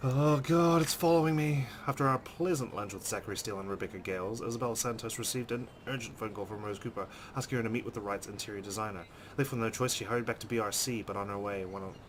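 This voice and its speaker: Casting: male let's play host, lowish voice, dramatic reader with casual asides, noticeably noisy recording. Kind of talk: dramatic read-aloud with casual commentary.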